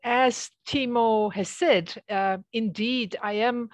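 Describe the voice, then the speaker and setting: A woman in her fifties from Belgium giving an online academic presentation in a halting, conversational way. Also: fairly high pitch, dry recording. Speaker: associate professor, guest speaker. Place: small office with bookshelves, indoors. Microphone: headset on a video call.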